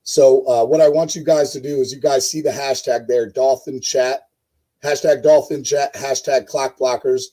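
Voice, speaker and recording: A man with a hoarse voice hosting a casual live-stream sports show, rambling with many fillers and talking to his chat viewers. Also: medium pitch, steady tone, normal speed, mellow, rough recording. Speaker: host.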